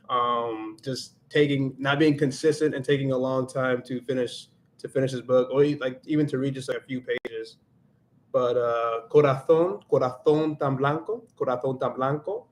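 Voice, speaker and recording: A man hosting a casual livestream, thinking aloud in a reflective way and slipping into Spanish now and then. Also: medium pitch. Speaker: livestream host and Spanish learner.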